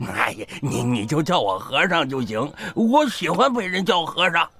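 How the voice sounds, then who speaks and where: An excitable old beggar man, with a husky, sharp-edged voice. He speaks slowly and eagerly, pitch swinging up and down. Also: full character voice. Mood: cheerful. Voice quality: raspy, creaky. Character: excitable, grateful old beggar turned temple helper. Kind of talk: audio drama acting.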